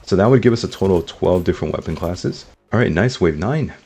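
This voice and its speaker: A man with a slightly sick, rough throat, giving upbeat casual commentary over his gameplay and talking to his viewers. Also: low voice, expressive, fast talker, mellow. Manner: upbeat, talks to viewers as guys. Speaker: gaming YouTuber.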